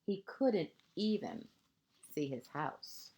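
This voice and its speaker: Female kindergarten storyteller, mellow and slow, gentle, expressive read-aloud with hushed phrase endings. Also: fairly low pitch. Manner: deliberate pauses, short phrases, asides to young listeners. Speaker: kindergarten teacher reading a picture book.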